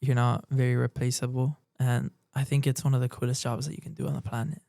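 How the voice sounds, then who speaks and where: A young male architecture student speaks sincerely about his passion in a gravelly, mellow voice. His pitch stays flat, and the sound is dry and close. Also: quick pace, no echo. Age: young adult. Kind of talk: interview answers.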